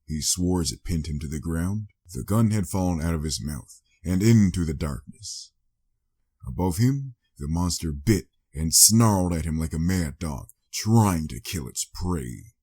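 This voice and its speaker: A man's voice: low-pitched, expressive, a little slow, mellow, breathy edge. A man reading a scripted story as a dramatic audiobook narrator.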